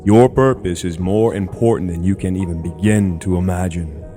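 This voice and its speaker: A man with a low-pitched voice guides a meditation from a script. His delivery is very unhurried and soothing, set in short, deliberate phrases. The tone is dark and mellow, with soft music underneath. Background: background music.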